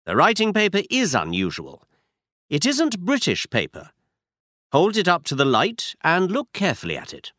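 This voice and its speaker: A confident male detective, voiced by a man narrating, brisk and instructive, always a step ahead. His lines sit high and leap about in pitch. The sound is dry and up close. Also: wildly swooping pitch, fairly bright tone, no room sound, heavily acted. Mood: assured.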